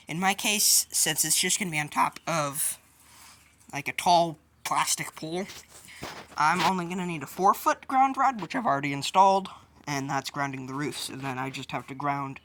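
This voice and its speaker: A woman's voice: lowish voice, a bit bright. A woman giving a casual hands-on tutorial in an informal, offhand, colloquial way. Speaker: DIY video host. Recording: clear background noise with clicks.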